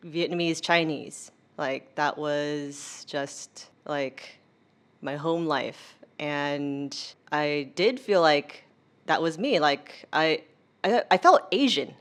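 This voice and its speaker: A woman's voice: deep voice, lively intonation, slow pace. A young woman with an American accent talking in a casual, chatty way as an interview guest, peppering her sentences with "like". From United States. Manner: chatty, informal, heavy use of "like".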